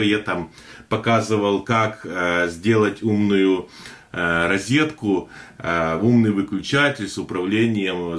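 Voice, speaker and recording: A man hosting a casual tech unboxing, talking in a chatty, hesitant way with many fillers and a slightly hoarse throat. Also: low-pitched, fairly bright tone. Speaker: tech video host.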